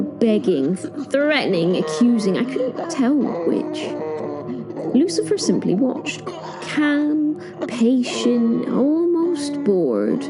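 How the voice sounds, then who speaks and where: A woman playing a wry, awed witness, narrating a horror audio drama in the first person with suspenseful pauses. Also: high-pitched, heavily acted. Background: music.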